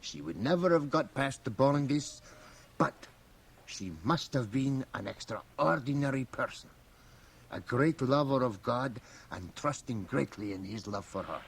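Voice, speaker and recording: An actor playing a very old, mischievous and learned priest in a radio drama, with a gravelly aged voice and sly, chuckling asides. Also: male voice, medium pitch, lively intonation, a little slow, heavily acted. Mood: earnest, reflective. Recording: faint hiss, home cassette radio transfer.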